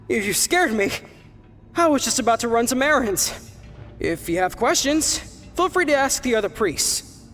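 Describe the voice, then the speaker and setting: A man's voice: very high-pitched, sing-song, slow pace, long pauses, a bit bright, exaggerated voice. A man playing a jumpy, cowardly priest making nervous excuses in a video game. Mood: nervous, evasive. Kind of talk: English video game voice acting. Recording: long reverb, faint background music.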